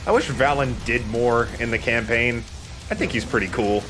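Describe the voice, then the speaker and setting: A man's voice: mid-range voice, normal speed, over music. A man giving casual commentary over a video game, talking in a conversational let's play style. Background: video game sound.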